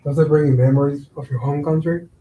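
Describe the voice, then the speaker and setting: Actor as easygoing young male laborer, high soft-toned voice, hesitant, stage reading in echoey room. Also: fairly even intonation, fast, a little hiss or hum, close to an everyday voice. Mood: wistful. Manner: hesitant, casual. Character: evasive, easygoing young construction worker, American-born. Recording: roomy, echoing sound.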